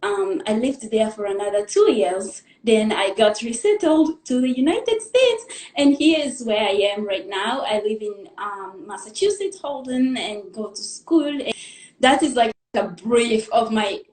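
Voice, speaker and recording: A young woman from the Democratic Republic of the Congo tells her life story as a guest in a live interview, in an earnest, halting way, pausing to gather her thoughts. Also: fairly high pitch, expressive, darkish tone, roomy sound, low-quality recording. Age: young adult.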